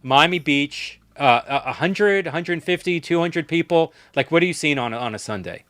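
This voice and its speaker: A man hosting a panel conversation on a livestream, animated and emphatic, often repeating words. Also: on the high side, moderate pace, no room sound. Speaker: host. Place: livestream call.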